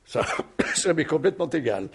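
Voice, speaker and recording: An actor in a French radio mystery play, playing a wry, nonchalant husband who evasively shrugs off suspicion. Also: male voice, fairly high pitch, big pitch swings, moderate pace, vocal fry, slightly rough, exaggerated voice. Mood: ironic, unbothered. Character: wry, unruffled husband suspected over his missing wife.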